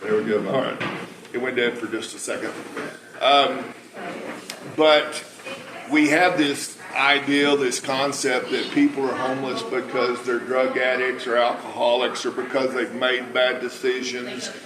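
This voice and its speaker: A man's voice: mid-range voice, flat delivery, unhurried. A man of about sixty with a preacher's big voice, telling anecdotes in an informal talk. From United States. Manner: anecdotal storytelling. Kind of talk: informal public talk and interview. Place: cafe, indoors. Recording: echoey room with clear background noise.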